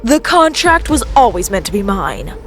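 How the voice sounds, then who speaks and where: A woman's voice: medium pitch, very animated, moderate pace, natural voice. A woman playing a jealous, indignant young rival, scheming and demanding, in a melodramatic audio series. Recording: music bed under the voice.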